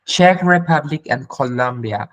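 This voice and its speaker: A woman from Poland with a Polish accent, speaking as a guest in casual interview chat, her non-native English halting and full of fillers. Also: low voice, lively intonation.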